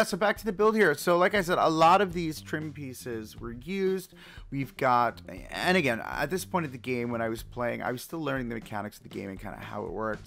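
A man hosting a game play-through, chatting casually with many fillers and restarts, his voice a little raspy and hoarse from screaming. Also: mid-range voice, fairly bright tone. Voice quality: slightly raspy. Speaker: let's play host.

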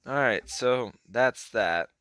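A young man casually commenting on a mobile card game as he plays, hoarse and clearing his throat, his voice trailing off in a laid-back mumble. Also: mid-range voice, slow pace, sharp tone, dry recording.

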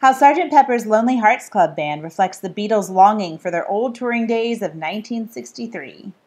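A woman's voice: mid-range voice, lively intonation, normal speed, darkish tone. A woman who is an author, reading her own book aloud in a scripted style. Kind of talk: scripted read-aloud narration.

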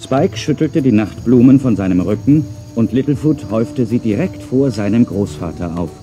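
A man's voice: medium pitch, animated, moderate pace, warm tone. A man reading scripted narration for a children's audio play, as a storyteller. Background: music.

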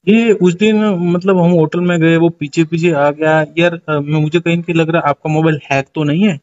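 A man's voice: on the high side, steady tone, moderate pace, a little mellow. A man chatting casually in a private phone conversation, heard on a muffled lo-fi call recording.

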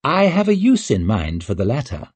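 A man voicing a cool, ruthless young noblewoman with a crisp, commanding manner, raised well above his own voice. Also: mid-range voice, huge pitch swings, darkish tone, no room sound, voice pushed up. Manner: crisp, commanding, quick to argue.

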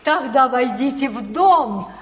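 A woman's voice: high-pitched, animated, takes it slowly. An actress playing a devoted, eager country mother in an emotive, theatrical verse radio play. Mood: warm, purposeful. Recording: echoey hall with long reverb.

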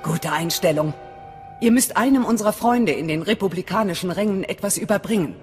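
Eine Schauspielerin als strenge, zurückhaltende Anführerin einer Widerstandszelle in einem Videospiel, befehlend und sachlich. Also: female voice, medium pitch, fairly quick, a little mellow, natural voice. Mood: commanding. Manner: commanding, matter-of-fact. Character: stern, guarded female resistance cell leader. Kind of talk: dramatic video game dialogue in German. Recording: long hall reverb. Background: background music.

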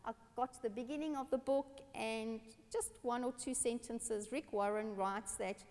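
A woman from South Africa, a pastor telling personal stories in a lively sermon on a church stage. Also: a little high, normal speed. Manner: animated, expressive, gestures while speaking. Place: large dark church stage. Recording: long church hall reverb.

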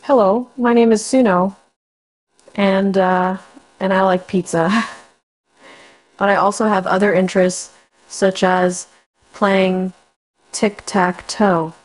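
A woman's voice: medium pitch, fairly even intonation, takes it slowly, long pauses, low-quality recording. A synthetic woman's voice speaking as a chatty young woman, with an electronic hiss behind it. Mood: cheerful. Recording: faint electronic buzz.

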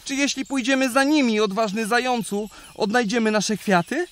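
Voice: mid-range voice, normal speed, close to an everyday voice. An actor doing an eager little bunny. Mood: curious. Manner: curious, eager. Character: small young male bunny. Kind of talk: children's radio play acting. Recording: noisy background.

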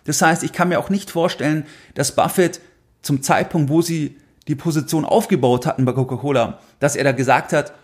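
Higher-pitched man explaining things. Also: fairly even intonation, fairly quick. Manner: explanatory, repeats phrases for emphasis. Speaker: finance podcast host. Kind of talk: explanatory monologue to listeners.

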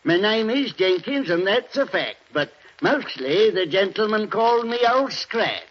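A chuckling old Cockney barber. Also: male voice, sits high, sing-song, unhurried. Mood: chatty. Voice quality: chatty, chuckling, deferential. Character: little old Cockney barber, chatty and jokey. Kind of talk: 1940s radio acting. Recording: dull old radio recording with faint hiss.

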